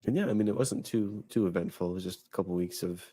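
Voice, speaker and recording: A man's voice: low-pitched, fast, warm tone, breathy edge, dry recording, studio quality. A man in his thirties co-hosting a faith podcast, chatting casually and rambling with many restarts and fillers. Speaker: podcast host. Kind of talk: casual chat.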